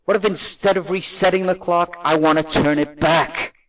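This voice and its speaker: A man's voice: high-pitched, fairly even intonation, normal speed, a bit bright, no echo, low-quality recording. A man acting an idealistic, headstrong robot adventurer with an earnest manner in an audio drama. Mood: earnest, defiant.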